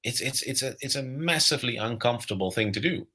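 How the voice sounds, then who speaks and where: A man's voice: medium pitch, quick pace, bright, forceful tone, husky. A middle-aged Dutch man with a Dutch accent, speaking reflectively as a guest on a live video-call interview, often repeating words as he thinks. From Netherlands. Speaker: guest author. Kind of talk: casual interview talk.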